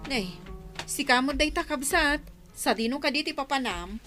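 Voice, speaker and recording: A woman's voice: high-pitched, expressive, normal speed, over music. A woman with a northern Philippine accent playing a kindly, encouraging elder sister in a village comedy radio drama.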